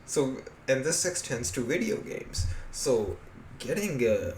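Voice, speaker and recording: A man talks as a podcast co-host in a roomy, echoing space with faint noise behind him. He explains things casually and bluntly, swearing freely, in a medium-pitched voice. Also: very animated, takes it slowly, darkish tone. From Pakistan. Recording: echoey room with background noise.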